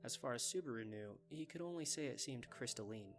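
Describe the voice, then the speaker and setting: A man's voice: mid-range voice, lively intonation, fairly quick, faint music behind. A man narrating a scripted fantasy story for an anime fan dub.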